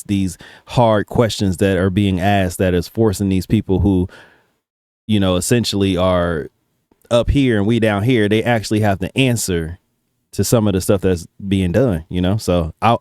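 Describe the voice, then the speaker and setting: Smooth, deep-voiced man reacting casually. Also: a little mellow, no room sound, studio quality. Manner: profane, slangy talk. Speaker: reaction video host.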